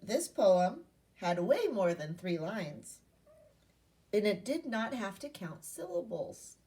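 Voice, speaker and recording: A woman's voice: mid-range voice, big pitch swings, takes it slowly, mellow, recorded from a distance. A woman primary school teacher giving an interactive read-aloud lesson to young children, asking questions and repeating key points in an instructional way.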